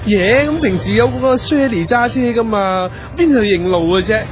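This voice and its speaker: A man's voice: high-pitched, expressive, moderate pace. A man playing a fussy, boastful talent manager in a radio comedy drama, nagging and cajoling in Hong Kong Cantonese. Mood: irritated. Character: fussy, boastful male showbiz manager, easily flustered. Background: music.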